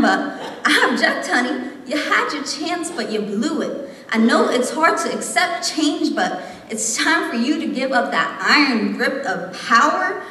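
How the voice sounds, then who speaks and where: Young female slam poet, emphatic and rhythmic, higher voice, reverberant room with faint noise. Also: sharp tone. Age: young adult. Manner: impassioned, rhythmic, emphatic delivery. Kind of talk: slam poetry recitation. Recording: echoey hall, distant mic, faint noise.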